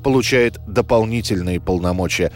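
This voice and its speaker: A man's voice: lowish voice, moderate pace, a little mellow. Male narrator over faint music. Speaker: narrator of a history programme. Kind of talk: scripted documentary narration. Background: music bed.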